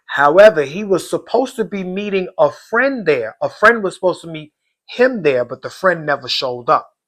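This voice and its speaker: A man with a hoarse voice, hosting an astrology reading and talking casually and directly to his viewers. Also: fairly high pitch, expressive, fairly bright tone. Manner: slow, deliberate, talks straight to viewers. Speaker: astrology channel host.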